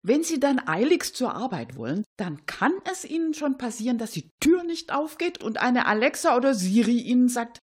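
A woman hosting a satirical cabaret radio show, speaking with playful irony and a husky voice. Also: a little high, moderate pace, hardly any pauses. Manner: playfully ironic, animated.

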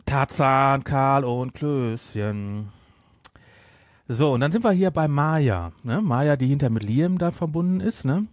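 A man's voice: mid-range voice, expressive, slow, no echo. Middle-aged man, bantering podcast host, dry sound. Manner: bantering, interrupting. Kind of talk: casual chat.

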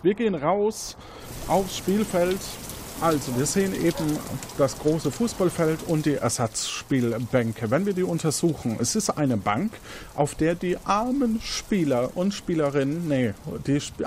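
A man's voice: fairly high pitch, lively intonation, a little slow, darkish tone, a little hiss or hum. A man moderating an interactive audio quiz game as its host.

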